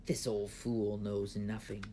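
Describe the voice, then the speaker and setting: A man's voice: on the low side, flat delivery, takes it slowly, warm tone. Scheming storybook advisor, played by a male reader: scornful, conspiratorial, noise in the background. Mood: sly. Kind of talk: children's storybook read aloud.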